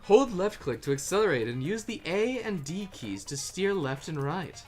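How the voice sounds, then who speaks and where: A person chatting casually while playing a game and reading out its text, animated, sweary and easily amused. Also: mid-range voice, normal speed, soft background music. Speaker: player and fan dub voice reader. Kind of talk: casual gaming chat mixed with reading tutorial text.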